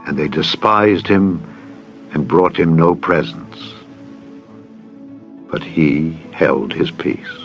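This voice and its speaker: A man's voice: extremely low pitch, slow pace, long pauses. A man narrating a dramatized scripture audiobook in a solemn, formal, declamatory way. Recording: dull band-limited audio with music. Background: background music.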